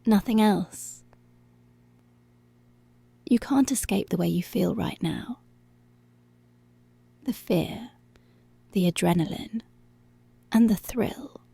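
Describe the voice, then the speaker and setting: A woman playing a dominant, menacing policewoman in a teasing, seductive ASMR roleplay, commanding and drawn-out, often slipping into whispers. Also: medium pitch, creaky, dark tone, slightly rough, dry recording, heavily acted. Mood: taunting, seductive. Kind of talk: intimate ASMR roleplay, slow and breathy.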